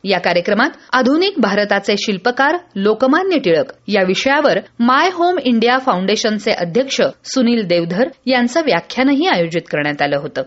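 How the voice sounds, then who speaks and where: A woman from presenting a scripted radio newsreel in a formal, measured way. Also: medium pitch, big pitch swings, dull audio. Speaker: news presenter.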